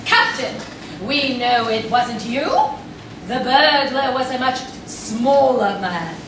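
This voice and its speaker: A woman's voice: a little high, slow. A woman playing a nosy, haughty rich society wife turned amateur detective in a staged comic radio play. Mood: haughty, insistent. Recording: echoey room, distant mic, clear noise.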